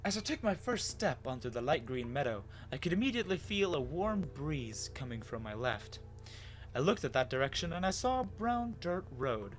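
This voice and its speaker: A man plays a lost man with no memory, anxious and bewildered. He tells his own story as a dramatic reading, with lively swings of pitch and expressive pauses. Soft music runs underneath. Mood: wondering. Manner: dramatic, expressive first-person telling with pauses. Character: confused lost amnesiac man recounting his wandering, anxious. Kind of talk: dramatic reading of fan fiction.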